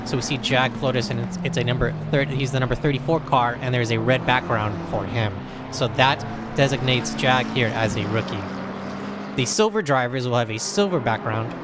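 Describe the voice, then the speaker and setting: American guy casually calling a sim race, mid-pitched voice, engines roaring loud behind him. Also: heavy background noise. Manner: casual, chatty, loose. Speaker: race commentator. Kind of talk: sim racing commentary.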